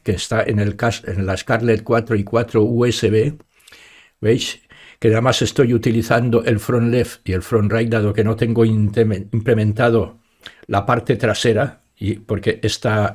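A man's voice: on the low side, darkish tone. Older Spanish man giving an informal tutorial. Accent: Spanish (Spain). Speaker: software tutorial presenter. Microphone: studio microphone close up, headphones on.

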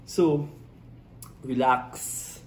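A man's voice: mid-range voice, fairly even intonation, extremely slow. A young Trinidadian man co-hosting a vlog, chatting playfully and laughing often. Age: young adult. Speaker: vlog co-host. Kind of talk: casual joking chat. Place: small indoor room with dark backdrop. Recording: roomy echo, faint noise.

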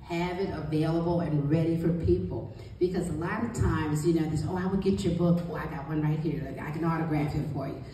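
A middle-aged woman with a deep voice gives an emphatic, encouraging motivational talk as a guest author and book coach. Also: steady tone, a little fast, dark tone. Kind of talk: motivational panel talk to an audience. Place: small indoor meeting room. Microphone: handheld mic, captured by distant camera. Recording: distant, very echoey room, faint noise.

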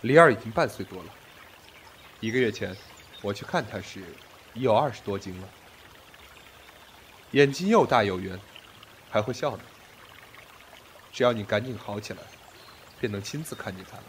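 A man's voice: lowish voice, lively intonation, moderate pace, long pauses, slight background noise, natural voice. A man acting a commanding, possessive sect master in an ancient Chinese audio drama, with an imperious, controlled delivery. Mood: firm, tender.

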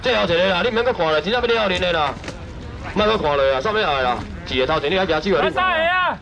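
A man running a live seafood auction at an outdoor night market stall, loudly shouting prices and sales patter. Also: very animated, vocal fry. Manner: loud rapid sales pitch, shouting prices. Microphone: wide camera in front of stall. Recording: noisy outdoor market, traffic behind.

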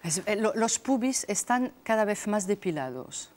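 A woman's voice: medium pitch, big pitch swings, moderate pace, loud music behind the voice. Frenchwoman speaking with a French accent. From France. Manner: frank, lightly amused, with many hesitations. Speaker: interview guest. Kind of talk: TV studio interview. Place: TV studio. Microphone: lapel.

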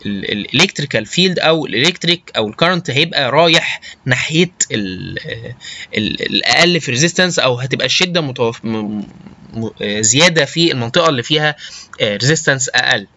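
A man with an Egyptian Arabic accent giving an informal technical lecture, halting and often checking that listeners follow. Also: mid-range voice, lively intonation, bright, forceful tone, lo-fi sound. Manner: halting, often checks understanding with short tag questions. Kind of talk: informal slide lecture with technical English terms.

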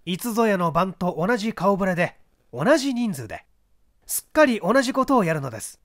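Male narrator playing confident male master detective, raised pitch, animated, precise, courteous but commanding, audiobook dialogue. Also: brisk, no room sound, clean studio sound. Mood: commanding, polite. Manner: emphatic, precise, courteous instructions. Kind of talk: literary audiobook character dialogue.

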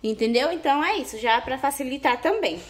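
A woman's voice: sits high, expressive, a little fast. Chatty young woman cooking, echoey kitchen. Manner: chatty, keeps asking viewers "né, gente?". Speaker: cooking vlogger. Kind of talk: casual cooking chat to camera. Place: small home kitchen with tiled walls. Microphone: camera on the counter, partly hand-held over the stove. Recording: echoey tiled kitchen, faint background noise.

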